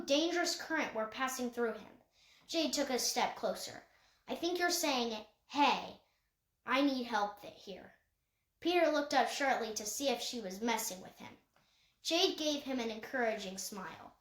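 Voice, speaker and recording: A woman's voice: on the high side, bright, forceful tone. A woman reading a children's novel aloud as scripted narration, occasionally stumbling and correcting herself. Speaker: audiobook reader. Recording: echoey untreated room.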